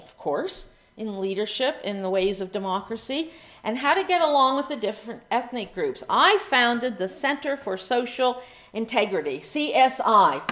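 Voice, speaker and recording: High-voiced woman from Myanmar giving a speech. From Myanmar (Rakhine State). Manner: conversational, rhetorical asides to the audience. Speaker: human rights activist and organization founder. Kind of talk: public speech to an audience.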